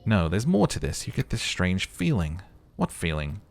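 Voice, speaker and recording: A man's voice: low voice, big pitch swings, moderate pace, gravelly, soft background music. A man hosting a video game playthrough, mixing casual muttered commentary with dramatic reading of game text. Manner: thinks aloud, muttering and humming to himself.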